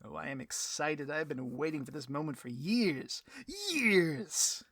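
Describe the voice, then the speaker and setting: A man playing a vain, easily riled supervillain boss in a comedic audio roleplay, grandiose and melodramatic, with a husky voice. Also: fairly high pitch, expressive, a little slow, fairly bright tone, no echo, clean studio sound. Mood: gloating excitement. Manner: grandiose, melodramatic, petulant speechifying.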